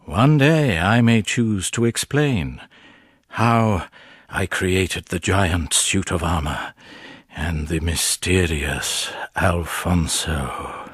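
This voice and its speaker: An actor playing a scheming, self-satisfied friar in a gothic radio drama, speaking in a theatrical, insinuating way with a husky voice. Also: male voice, sits low, very slow, fairly bright tone, clean studio sound, heavily acted. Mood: smug, secretive. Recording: echoey radio play with music bed.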